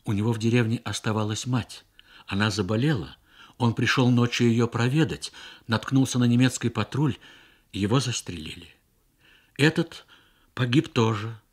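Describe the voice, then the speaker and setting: Earnest young woman interpreter, read by a man, husky voice, measured explanations, radio storytelling. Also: medium pitch, natural voice. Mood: sober, informing. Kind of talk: Soviet radio story reading.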